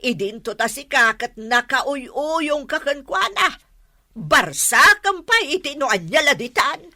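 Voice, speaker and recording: Woman acting bright-voiced, scolding older Ilocano mother-in-law. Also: high voice, very animated, slow, dry recording, studio quality, heavily acted. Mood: reproachful. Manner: scolding, lecturing. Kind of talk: melodramatic radio drama acting.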